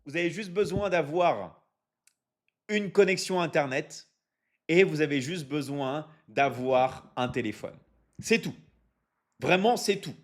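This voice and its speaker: A man from France hosts a live stream for his online academy. He talks at a slightly raised pitch, keeps repeating his points, and often stops to ask his viewers "ok?" between filler sounds. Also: measured pace, pauses often. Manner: energetic, repetitive, drops many fillers and asks "ok? d'accord?". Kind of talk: live stream sales pitch reading chat.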